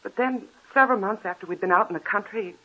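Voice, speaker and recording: An actress playing an anxious young wife in a 1940s radio drama, earnest and pleading. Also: female voice, mid-range voice, fast talker, sharp tone, breathy edge. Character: anxious young married woman, devoted wife. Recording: muffled old radio recording.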